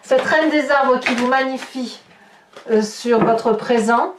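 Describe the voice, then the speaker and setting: A woman with a fairly high voice talks viewers through an improvised tarot reading. She addresses them directly and expressively. The sound is very roomy, with the microphone some way off. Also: takes it slowly, background noise. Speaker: tarot reader hosting a horoscope video. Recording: roomy echo, distant microphone.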